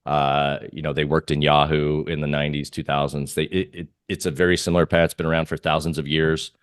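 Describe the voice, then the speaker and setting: A man speaking as a guest in a casual interview about markets, halting and thinking aloud as he goes. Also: extremely low pitch, flat delivery, clear, resonant tone, dry recording, studio quality. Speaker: trader guest being interviewed.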